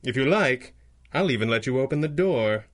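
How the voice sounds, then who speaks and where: A gallant masked vigilante boss played by a man from a pulp radio adventure. He speaks at a middling pitch with composed authority. He reassures and directs with a dry, wry touch. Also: expressive, normal speed, close to an everyday voice. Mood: assured. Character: calm, gallant masked male vigilante leader. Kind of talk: pulp radio drama acting in a 1930s manner.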